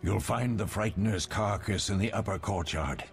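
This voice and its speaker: An actor playing a wise, fatherly older mentor of monster hunters in a video game, measured and instructive. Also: fairly low pitch, gravelly, soft background music. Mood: approving, instructive.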